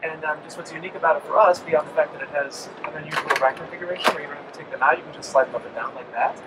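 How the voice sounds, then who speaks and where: A man's voice: fairly high pitch, fairly even intonation, rapid-fire. A man in his forties, a company representative explaining products with frequent ums in a trade show interview. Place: busy indoor convention hall. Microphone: handheld mic held by interviewer. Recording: noisy trade show floor.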